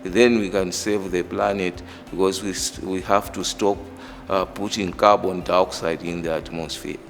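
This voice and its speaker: A middle-aged man with a Malawian accent, a professor explaining work and background in a measured, halting way. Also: sits low, fairly even intonation, vocal fry. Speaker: engineering professor. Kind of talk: interview monologue explaining own work and background. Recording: reverberant hall, music underneath. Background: background music.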